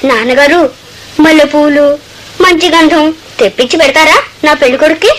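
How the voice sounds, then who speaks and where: Radio play part: innocent, wilful six-year-old girl voiced by a woman, high-pitched, slightly noisy old recording. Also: fairly quick, natural voice. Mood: eager, bossy.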